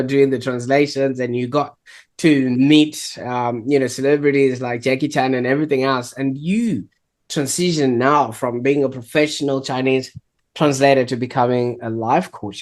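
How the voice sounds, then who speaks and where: Guy hosting a podcast, keen, sums things up then asks, mid-pitched voice. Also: a little mellow. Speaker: podcast host. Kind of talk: interview questions.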